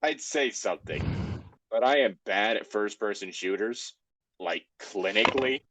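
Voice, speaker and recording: A man's voice: fairly low pitch, lively intonation, unhurried, background noise. A man in a casual group chat, reading a story aloud with sweary, self-deprecating asides.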